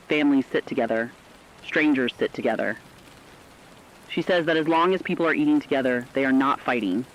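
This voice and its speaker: A man's voice: medium pitch, muffled recording, natural voice. A man playing an earnest radio correspondent, telling human stories in solemn, scripted narrative reporting.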